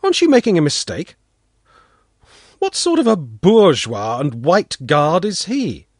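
A man voicing an earnest boy who argues his point quickly. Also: fairly high pitch, huge pitch swings, no echo, studio quality, pitched above the performer's own voice, full character voice.

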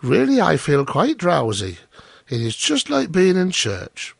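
A man voicing an admiring, complacent miller's wife in a storybook, full of gushing flattery. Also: a little high, measured pace, creaky, slightly rough, dry recording, clean studio sound, voice pushed up, full character voice. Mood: drowsy admiration. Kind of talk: storybook character voice.

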